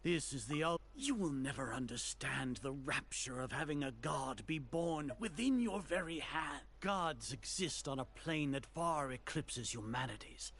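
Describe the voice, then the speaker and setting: Pompous, haughty older sage. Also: male voice, medium pitch, animated, fairly bright tone. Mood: fanatical, grandiose. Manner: pompous, formal, deliberate diction. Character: haughty, fanatical older male grand sage, coldly scheming. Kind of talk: dramatic video game voice acting. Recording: game dialogue over music.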